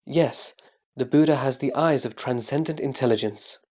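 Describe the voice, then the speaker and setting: A man playing a reverent disciple who answers his master calmly and formally in a Buddhist scripture audio drama. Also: mid-range voice, moderate pace, natural voice. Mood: reverent agreement. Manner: respectful, calm, careful formal answers.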